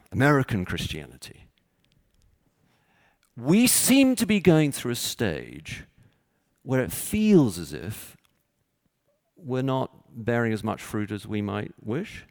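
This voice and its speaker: Slow-speaking Texan preacher, dry close sound. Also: male voice, fairly low pitch, vocal fry, dry recording. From Texas. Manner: measured, halting with frequent uh's. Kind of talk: sermon with scripture reading.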